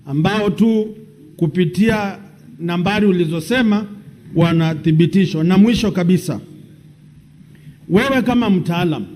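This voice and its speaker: Man, Kenyan accent, high-pitched voice, formal parliamentary questioning, faint background noise. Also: a little mellow. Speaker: senator questioning a witness. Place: parliamentary chamber.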